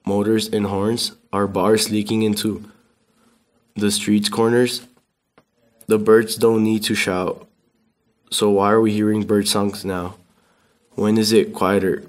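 A man reading a poem aloud as a student, in a poetry recital style. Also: fairly low pitch.

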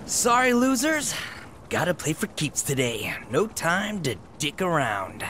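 A man's voice: fairly high pitch, wildly swooping pitch, unhurried, pushed and bright, heavily acted. An actor playing a cocky, flippant young henchman in a video game, taunting his enemies with a drawl. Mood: mocking. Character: cocky, flippant male villain henchman, lanky young fighter. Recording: noisy machinery behind.

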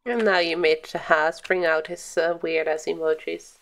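A woman's voice: low-pitched, flat delivery, moderate pace. A young adult woman livestreaming a game, chatting casually and playfully with viewers and exclaiming at the screen. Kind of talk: casual gaming stream chat.

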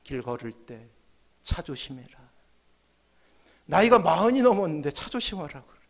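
The man at the pulpit on a Sunday morning, pitching his voice high over the pews, dropping it low for effect and then pressing each point home. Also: very animated, quick pace, vocal fry. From South Korea. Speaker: pastor. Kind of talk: sermon. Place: church sanctuary, at the pulpit. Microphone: gooseneck podium microphones.

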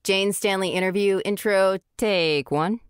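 A woman's voice: mid-range voice, a little slow, clear, resonant tone, no echo, studio quality, close to an everyday voice. A woman playing a frank podcast host in scripted investigative narration. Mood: matter-of-fact.

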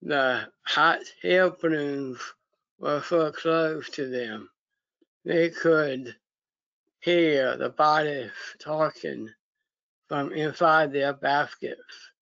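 Very slow, halting man reading aloud. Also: on the high side, creaky, fairly bright tone, no room sound. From United States. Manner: halting word-by-word reading with pauses and stumbles. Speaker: story reader. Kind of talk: reading a children's story aloud. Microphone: video call. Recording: dull, lo-fi video call.

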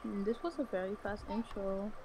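A young woman co-hosting a casual livestreamed podcast, chatty and teasing with quick asides. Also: mid-range voice, noisy background. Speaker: podcast co-host.

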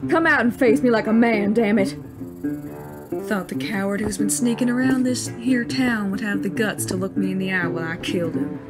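A bullying Western gang boss played by a woman, barking and cursing with vengeful swagger. It sounds like an audio drama, with loud guitar music underneath. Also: medium pitch, moderate pace, lightly acted. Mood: menacing, gloating.

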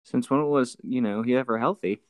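A man's voice: medium pitch, fairly quick, no room sound. A man bantering casually as a co-host on a podcast.

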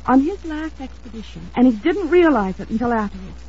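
A woman's voice: fairly high pitch, sing-song, brisk, warm tone, slightly rough, exaggerated voice. An actress playing an anxious, insistent young woman in an old-time radio drama, speaking in an earnest, emphatic, dramatic manner. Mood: hurt, urgent. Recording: dull lo-fi vintage radio recording.

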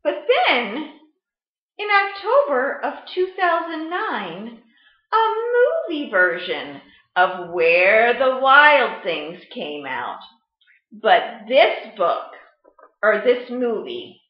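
A woman in her thirties hosting a children's storytime, with an expressive, sing-song and deliberate teaching delivery, recorded indoors on a webcam mic. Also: fairly high pitch. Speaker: children's librarian storytime host. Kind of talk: children's storytime read-aloud. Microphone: webcam or laptop mic at arm's length. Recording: roomy, slightly dull webcam sound.

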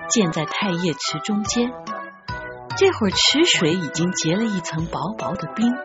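A woman narrating an audiobook story in an expressive, scripted storytelling style. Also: lowish voice, moderate pace, muffled recording. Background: background music.

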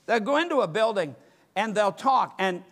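Older man, pastor, high-pitched, emphatic sermon, church sanctuary, pulpit mic. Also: lively intonation, moderate pace, a bit bright. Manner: emphatic preaching, gesturing at a pulpit. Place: church sanctuary with empty pews.

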